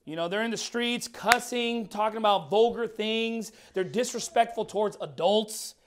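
A man's voice: high voice, moderate pace. A man in his thirties preaching a fiery, blunt sermon in a church while losing his voice. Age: 30s. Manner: emphatic, blunt, repeats words. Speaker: pastor.

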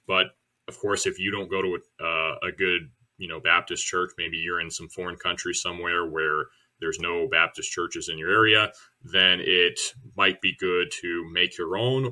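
Young man, deep voice, bright tone, relaxed teaching delivery. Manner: relaxed, plain-spoken, many fillers. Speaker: church member teaching soul winning. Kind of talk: casual instructional video.

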